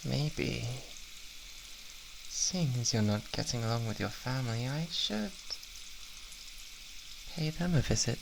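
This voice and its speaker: A creaky British boyfriend, acted by a man, coos possessively in an ASMR roleplay. His pitch swoops as he drawls, doting and menacing at once, over clear background noise. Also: expressive. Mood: coaxing, sinister. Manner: cooing, slow, possessive, drawn-out words. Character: obsessive, doting yandere boyfriend, soft-spoken and menacing. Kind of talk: intimate ASMR roleplay, improvised.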